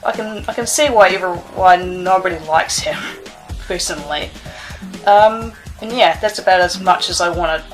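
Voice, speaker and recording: A woman speaking with disgust, pausing on fillers as she lists complaints. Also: fairly low pitch, soft background music, rough recording. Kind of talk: ranting to camera.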